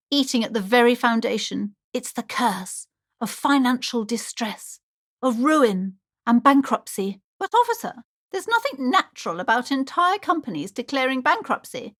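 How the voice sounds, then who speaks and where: An actress playing an anxious, superstitious shopkeeper in a video game, speaking in a theatrical, formal and ominous way. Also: female voice, a little high, fairly bright tone. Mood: ominous, earnest. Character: anxious, superstitious shopkeeper woman, dramatic and doom-laden. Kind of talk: video game character dialogue.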